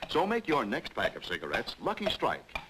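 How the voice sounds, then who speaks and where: An American man reading a 1950s advertisement as an announcer, with a polished, measured sales pitch. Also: huge pitch swings, background noise. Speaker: commercial announcer. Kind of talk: 1950s cigarette advertisement narration.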